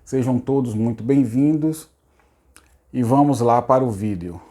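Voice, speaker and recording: A hoarse middle-aged man, a psychologist giving an unscripted self-help talk on spirituality, repeating himself and asking rhetorical questions. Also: mid-range voice, unhurried, warm tone. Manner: repetitive, rhetorical, casual asides. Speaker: psychologist hosting a channel.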